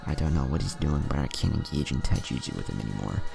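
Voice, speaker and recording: A man's voice: very deep voice, expressive, quick pace, vocal fry, music in the background, heavily acted. A man voicing a proud, stubborn, angry teenage boy ninja in an anime fan story, defiant in manner. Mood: frustrated.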